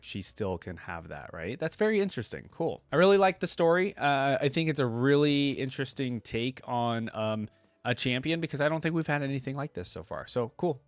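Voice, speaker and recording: A man's voice: medium pitch, no room sound. A man hosting a reaction video with informal, curious, casual commentary. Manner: informal, curious, easily intrigued.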